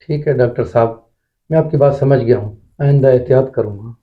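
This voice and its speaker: A man playing an indulgent father in a scripted family drama, speaking earnestly and deliberately. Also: medium pitch, narrow, tinny audio, lightly acted. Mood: conceding, apologetic. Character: indulgent father of a young boy, respectful but firm son.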